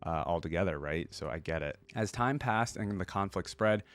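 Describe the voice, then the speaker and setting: A man's voice: sits low, lively intonation, moderate pace. A man hosting a reaction video, chatting informally and reading lore aloud with commentary.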